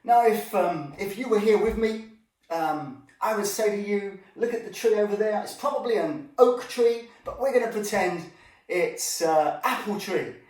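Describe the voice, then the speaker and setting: A man's voice: high-pitched, moderate pace, darkish tone. A man telling an informal children's Bible story, chatting directly to his young listeners as he presents. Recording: echoey room, distant microphone.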